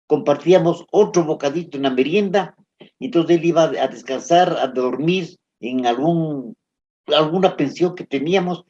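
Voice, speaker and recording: A man's voice: on the high side, a little mellow. A man, interviewed, earnestly reminisces about old friends and work. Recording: muffled lo-fi recording.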